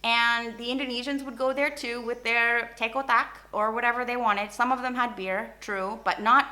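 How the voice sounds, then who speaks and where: A woman hosting a vlog, giving a casual, opinionated rant in an animated, informal way and mixing Indonesian phrases into her English. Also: fairly high pitch, normal speed, poor audio. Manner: animated, informal, acts out short imagined exchanges. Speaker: YouTube host.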